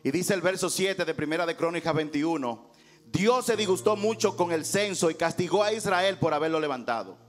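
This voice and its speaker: A man with a high-pitched voice preaches as a pastor. His delivery is emphatic and rhetorical, slipping into dramatized scripture dialogue, with instrumental music faintly behind him. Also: animated, brisk. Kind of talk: sermon preached to a congregation.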